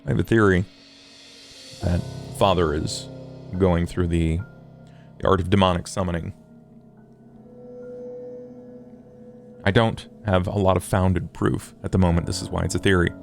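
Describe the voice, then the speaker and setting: A man playing a scholarly, formal vampire in a tabletop game, speaking in measured, wordy phrases over music. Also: steady tone. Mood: formal, pondering. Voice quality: low, gravelly fry. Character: scholarly, formal, morose male vampire occult theorist. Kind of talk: in-character tabletop roleplay.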